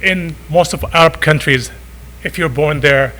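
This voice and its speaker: A man's voice: fairly high pitch, unhurried, pushed and bright, low-quality recording. A middle-aged man born in Saudi Arabia, speaking informally as a father during public comment at a meeting. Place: school board meeting room.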